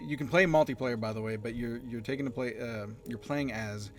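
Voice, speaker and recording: A man's voice: mid-range voice, a little fast. A man hosting a casual podcast, rambling and correcting himself, laughing easily as he talks. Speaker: podcast host.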